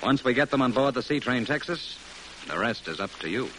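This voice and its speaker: A man's voice: mid-range voice, lively intonation, a little fast, a bit bright, lightly acted. A man playing a grave military officer in a classic radio drama, giving a briefing in a clipped, emphatic, solemn way. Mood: urgent, firm. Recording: faintly noisy old radio recording.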